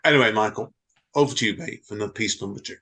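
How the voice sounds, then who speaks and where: A man's voice: fairly low pitch, fast, bright, forceful tone. A man co-hosting a podcast, chatting casually and reading stories. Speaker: podcast co-host. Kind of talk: casual chat and story reading.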